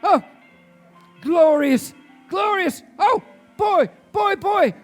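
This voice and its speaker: Excitable old reformed miser, very high voice, unhurried delivery, exclamatory, theatrical radio play, music behind. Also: male voice, full character voice. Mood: giddy elation. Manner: exclamatory, repeats words in fervent bursts. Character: elderly reformed miser, frantic, giddy and overjoyed. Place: theatre stage before an audience. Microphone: shared stage microphone. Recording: live stage recording with music behind.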